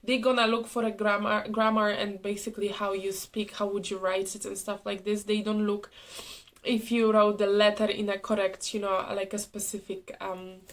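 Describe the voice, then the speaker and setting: A young woman, a former cabin crew member whose first language is not English, chatting casually to her viewers with many fillers and restarts, her voice a little hoarse from a cold. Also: mid-range voice, little pitch movement, moderate pace. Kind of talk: casual chat to camera.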